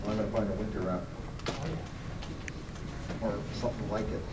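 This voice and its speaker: A man's voice: fairly low pitch, level tone, moderate pace, heavy background noise. Folksy man grading furs in an echoey hall. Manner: plain-spoken, folksy, asks audience to look. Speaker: fur grader. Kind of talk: live demonstration talk. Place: large indoor meeting hall. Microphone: far camera. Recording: echoey hall, camera from far off.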